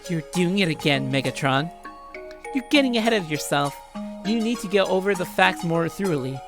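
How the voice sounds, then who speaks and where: A let's player voicing a calm, condescending schoolgirl killer from a visual novel, composed and lecturing. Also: mid-range voice, moderate pace, over music, close to an everyday voice.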